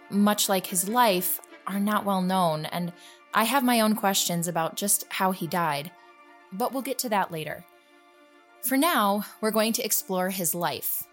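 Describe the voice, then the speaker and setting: A woman's voice: mid-range voice, a bit bright, lightly acted. Woman playing an earnest investigative podcast journalist, music behind. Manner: earnest, deliberate, with rhetorical questions. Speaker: podcast host. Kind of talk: scripted investigative podcast narration.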